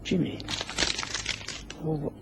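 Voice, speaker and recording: A man's voice: extremely slow, noisy background. An actor playing a proud, defensive Englishman of influence in a radio drama, stiff and guarded in manner. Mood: uneasy, shaken.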